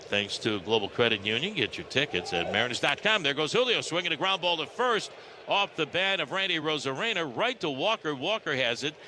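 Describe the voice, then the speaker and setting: An American man works as a baseball play-by-play announcer on the radio. His voice sits in a middle register as he narrates the game live. Clear background noise from the ballpark runs under the whole broadcast. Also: mid-range voice, pushed and bright.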